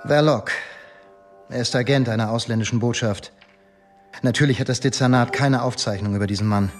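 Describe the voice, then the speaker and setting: An actor playing a male police inspector in a radio drama, deferential yet self-assured, speaking formally and in a measured, self-justifying way. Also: medium pitch, soft background music, lightly acted. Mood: dutiful, guarded. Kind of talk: German radio drama dialogue.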